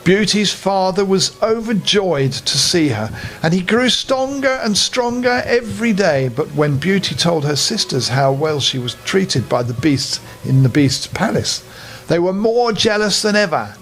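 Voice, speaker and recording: An older man tells a children's story in a fairly high voice. His intonation is very lively and expressive. He speaks as a storyteller to young listeners. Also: unhurried, faint music behind, rough recording. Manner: animated, with friendly asides to young listeners.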